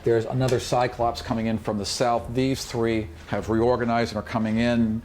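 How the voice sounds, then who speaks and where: A man's voice: mid-range voice, flat delivery, moderate pace, a little mellow, a little hiss or hum. A man improvising narration as game master of a tabletop fantasy game, with vivid, dramatic delivery. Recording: music behind the voice.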